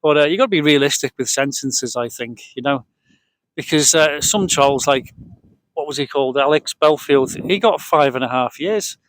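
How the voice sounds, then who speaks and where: A man's voice: fairly high pitch, normal speed, a bit bright. A British man in middle age or older hosting a casual vlog, chatting off the cuff into a handheld microphone. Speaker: vlog host. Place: outdoors on a boat deck at a marina, breezy evening. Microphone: cheap handheld microphone shared with partner.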